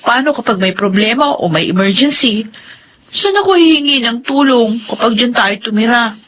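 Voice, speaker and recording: A woman's voice: on the high side, animated, normal speed, fairly bright tone. An actress playing a stubborn young wife of thirty who clings to her mother and speaks in a scolding, defiant way. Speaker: wife in radio drama. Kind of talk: Filipino radio drama acting.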